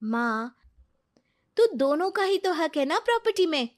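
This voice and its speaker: A dutiful young daughter from a cartoon family drama, played by a woman. Her voice is fairly high and she speaks earnestly and obediently, as a kind-hearted girl who looks after her family. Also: sing-song, unhurried. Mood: protesting. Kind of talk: Hindi cartoon dubbing.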